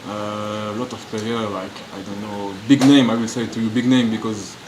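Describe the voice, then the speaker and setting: A man from France answering press questions in halting, searching English, restarting his sentences often. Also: on the low side, level tone, noisy background. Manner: halting non-native English, frequent restarts. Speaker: professional footballer. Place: media room.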